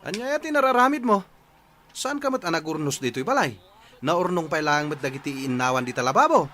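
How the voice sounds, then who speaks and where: A man's voice: a little high, expressive, fairly quick, pushed and bright. A man playing a grumbling, fault-finding village husband in a comedy radio drama, nagging and complaining. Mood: irritated.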